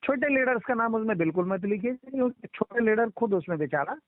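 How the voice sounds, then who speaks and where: A man's voice: high-pitched, expressive, fairly quick, dry recording. A man explaining a procedure insistently over the phone, repeating his instructions and talking over others. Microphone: telephone line. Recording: muffled band-limited phone call recording.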